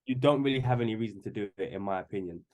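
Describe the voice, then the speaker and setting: A man's voice: fairly low pitch, rapid-fire, darkish tone, highs cut off. Man in his twenties, rambling chat. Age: 20s. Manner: rambling, many restarts and fillers. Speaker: podcast guest. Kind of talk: casual chat.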